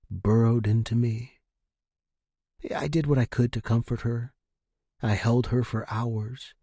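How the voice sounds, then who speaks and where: Slow, husky male story narrator. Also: mid-range voice, a little mellow, dry recording, studio quality. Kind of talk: scripted first-person fiction narration.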